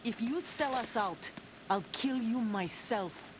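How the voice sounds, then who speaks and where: A woman's voice: a little high, expressive, takes it slowly, background noise. An actress playing a hostile young female soldier who answers bluntly and threatens, in a video game cutscene. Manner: hostile, confrontational. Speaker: mercenary commander.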